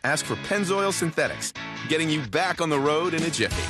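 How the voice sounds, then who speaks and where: A man's voice: a little high, lively intonation, brisk. A man doing a commercial voice-over, telling an everyday scenario in a storytelling ad style. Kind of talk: storytelling ad read. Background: loud music.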